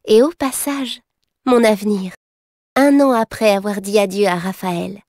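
A woman playing a gentle, earnest young girl in a video game, with a soft, sincere and expressive delivery. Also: mid-range voice, no echo, studio quality, lightly acted.

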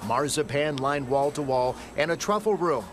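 A man with an American accent narrating a scripted TV news feature in a polished, measured broadcast delivery. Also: a little high, animated. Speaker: TV news reporter.

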